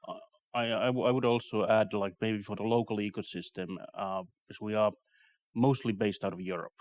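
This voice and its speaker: A man with a Finnish accent answering interview questions about business in a measured, tentative way. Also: fairly low pitch, fairly even intonation, darkish tone, dry recording. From Finland. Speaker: investment company representative, interviewee.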